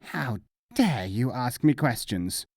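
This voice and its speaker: A man's voice: medium pitch, sing-song, slow pace, a little mellow, dry recording, clean studio sound, exaggerated voice. A man voicing a wry, self-assured wise-fool mullah in a storybook reading. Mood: indignant, pompous.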